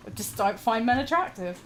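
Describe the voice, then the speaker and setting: A woman in casual gaming chat, rambling and self-deprecating, laughing often, her voice going shrill when she gets excited. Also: a little hiss or hum. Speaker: gamer co-host. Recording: music playing behind.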